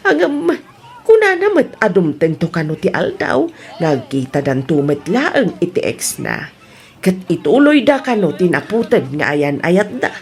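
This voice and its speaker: A woman's voice: lowish voice, huge pitch swings, measured pace, heavily acted. A woman playing a teasing, gossipy aunt in an Ilocano audio drama. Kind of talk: Ilocano radio-style drama acting.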